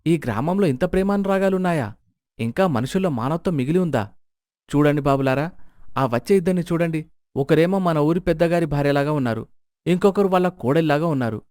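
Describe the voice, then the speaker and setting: A man acts out a sarcastic village beggar in a moral tale. His fairly high voice is theatrical and sly, dripping with mock pity. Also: brisk, darkish tone, dry recording, clean studio sound, lightly acted. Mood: mock awe. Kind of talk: Telugu moral-story character acting.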